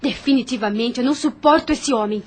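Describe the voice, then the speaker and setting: A woman's voice: a little high, quick pace, a little mellow, lightly acted. An actress playing a compassionate, defiant young woman in an audio novel, with impassioned, dramatic delivery. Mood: indignant.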